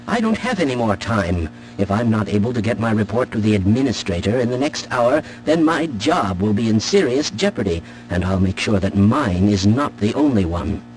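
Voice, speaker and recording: An actor playing an irate, self-important facility employee in a video game, indignant and demanding. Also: male voice, on the low side, big pitch swings, normal speed, few pauses, a little mellow, slight background noise, low-quality recording. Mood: indignant, threatening.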